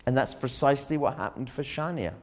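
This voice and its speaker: A man preaching a sermon, telling a story dramatically, rising loud on key words and dropping low again. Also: animated, brisk. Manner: dramatic, swells loud on key phrases then drops low.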